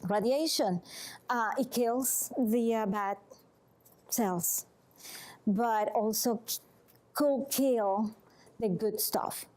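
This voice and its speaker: Earnest Venezuelan-accented woman, fairly high voice. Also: a little mellow. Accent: Venezuelan Spanish. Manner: earnest, halting phrasing with frequent "okay?" check-ins. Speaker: massage therapist speaking to a support group. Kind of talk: informal health talk to a group.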